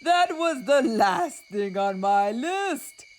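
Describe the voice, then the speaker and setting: A woman's voice: high voice, very animated, takes it slowly, slight background noise, voice pushed up, heavily acted. A woman voicing an earnest, anxious male toad in a children's storybook, given to dramatic wailing. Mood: shouting. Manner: dramatic, emphatic, prone to wailing.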